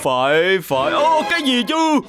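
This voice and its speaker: A showy race-car star. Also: female voice, high-pitched, normal speed, music in the background. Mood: animated. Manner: animated and expressive. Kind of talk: Vietnamese cartoon dub.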